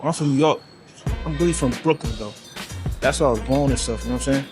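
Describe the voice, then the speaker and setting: A man's voice: mid-range voice, fast, over music, natural voice. A man putting on a joking Brooklyn accent, playful and exaggerated.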